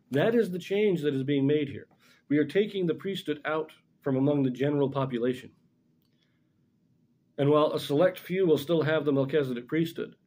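A middle-aged man giving an informal religious lesson as a scripture commentator, explaining things deliberately and correcting himself as he goes. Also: medium pitch, warm tone. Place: small indoor room in front of a blackboard.

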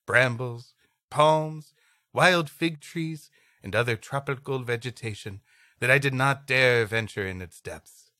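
Man reading as a courteous storytelling lady, bright-toned. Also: mid-range voice, unhurried, dry recording. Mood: reminiscing. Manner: formal, old-fashioned storytelling. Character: courteous Latin American lady recalling her frontier past.

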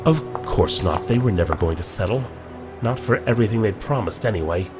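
An actor playing a proud, middle-aged scientist who talks in an indignant, clipped way. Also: male voice, lowish voice, animated, brisk, over music, close to an everyday voice.

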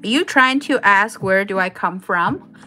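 A woman's voice: medium pitch, measured pace, sharp tone, faint music behind. A woman from Taiwan, a native Chinese speaker with a Taiwanese accent, hosting a casual live crochet tutorial with informal step-by-step instructions. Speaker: craft tutorial host.

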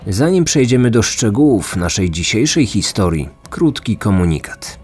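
Man, low voice, scripted podcast narration, deliberate delivery, faint music bed. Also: sing-song, a little mellow. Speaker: true crime podcast host.

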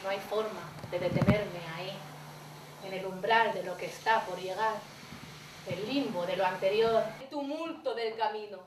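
A woman reciting poetry on stage in a dramatic, deliberate spoken-word delivery. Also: medium pitch, recorded from a distance. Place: dark theatre stage, indoors. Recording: noisy, echoey theatre recording from afar.

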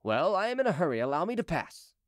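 A courteous gentleman fugitive, acted out by a male narrator in storybook style. He is persuasive and evasive, with a raised, animated pitch and a dry, close sound. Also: fast, no echo. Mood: brisk, polite. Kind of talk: audiobook character dialogue.

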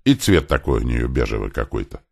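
A man narrates an audiobook story in an extremely deep voice with a gravelly creak. His intonation moves widely as he reads first-person fiction, lightly voicing bits of dialogue along the way. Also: big pitch swings, a little fast.

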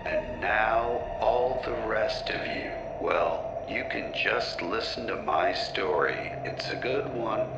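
An actor voicing a menacing, otherworldly ancient storyteller in an audio drama, with an ominous delivery. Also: male voice, on the low side, animated, muffled recording. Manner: slow, ominous, shifting into whispers and shouts. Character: menacing ancient otherworldly being, slow storyteller, stranded far from home. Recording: echoey, heavy music underneath.

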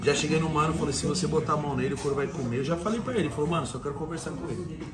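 A man's voice: on the high side, racing, loud music behind the voice. A young man hosting a live vlog, slangy and mock-serious as he eggs his friends on. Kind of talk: casual live-stream banter. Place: restaurant and street outside. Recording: busy restaurant crowd behind.

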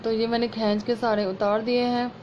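Female vlog host, sore throat with a slight rasp, homely chatty tutorial delivery, somewhat high voice, muffled phone recording. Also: level tone, normal speed, darkish tone. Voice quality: slightly hoarse. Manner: chatty, homely, explaining as she works. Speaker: sewing channel host. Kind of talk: casual step-by-step sewing tutorial. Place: at home over a work table. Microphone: phone camera over hands. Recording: muffled lo-fi phone audio, faint room noise.

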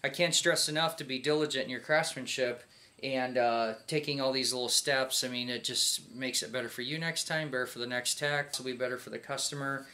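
Bright-voiced American man giving a tutorial. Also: medium pitch, steady tone, fairly quick. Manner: conversational, instructive, with check-in questions. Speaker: mechanic instructor. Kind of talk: informal hands-on tutorial. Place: home garage workshop.

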